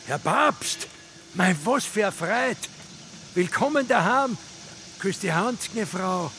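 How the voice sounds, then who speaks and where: An actor playing a fawning, menacing rural caretaker and local Nazi official in a radio drama, with an Austrian accent and an effusive, obsequious manner. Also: male voice, high-pitched, animated, slow pace, fairly bright tone, slight background noise, heavily acted. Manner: obsequious, effusive, with sneering undertone.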